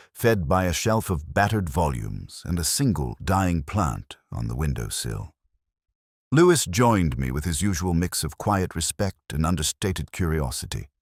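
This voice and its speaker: A middle-aged man narrating a detective story in the first person, in a measured, literary and brooding manner. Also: extremely low pitch, no echo, clean studio sound.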